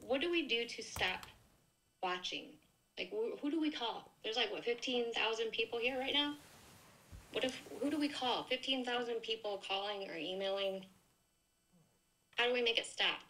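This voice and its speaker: A woman's voice: on the high side, normal speed, pushed and bright, a little hiss or hum, rough recording. A woman on a call-in line, pressing the host with questions. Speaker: caller.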